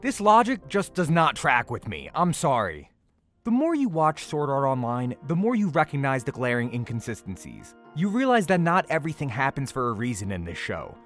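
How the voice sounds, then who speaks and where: A man gives an opinionated, scripted review in the style of a video essay, dropping in casual asides. His delivery swings widely in pitch and sounds exasperated and sarcastic, leaning on key words for emphasis. Soft music plays behind his voice. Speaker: anime reviewer.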